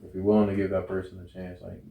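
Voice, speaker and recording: Male interview guest, American, low creaky voice, candid rambling conversational delivery, roomy echo. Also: monotone, fast, phone-quality sound. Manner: rambling, repeats "you know what I'm saying". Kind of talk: casual, candid conversation about a relationship. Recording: roomy, echoey sound.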